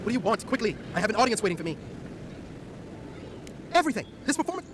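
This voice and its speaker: A man's voice: very animated, fast. A man playing a harried, exasperated street performer in a video game, impatient and dramatic. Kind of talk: video game character dialogue. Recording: game audio with clear ambient rumble.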